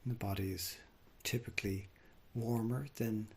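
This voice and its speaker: A man leading a guided body scan meditation, speaking gently and without hurry, leaving long pauses. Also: fairly low pitch, fairly even intonation, vocal fry, a little hiss or hum. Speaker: mindfulness meditation teacher.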